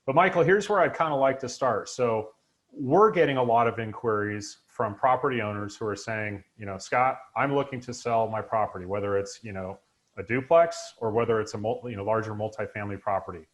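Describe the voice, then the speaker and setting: A man's voice: lowish voice, fairly quick. A man in his forties hosting a live webinar over a video call, upbeat and full of fillers. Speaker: host. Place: home room indoors, video call.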